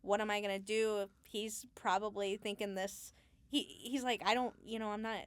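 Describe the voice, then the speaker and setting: A woman chats informally as an interview guest, with a giggly, easygoing way of talking. Her voice sits at an ordinary pitch for a woman. The sound is dry, with very little room around it. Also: little pitch movement, moderate pace, clear, resonant tone, no echo. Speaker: Foley artist, interview guest. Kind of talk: casual conversational interview.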